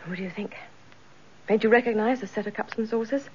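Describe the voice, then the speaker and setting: An actress playing a playful, affectionate, outspoken wife in a radio drama, with a teasing manner. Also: female voice, a little high, fast talker, slight background noise, natural voice. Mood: delighted, affectionate. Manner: teasing, expressive. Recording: dull lo-fi recording with music behind.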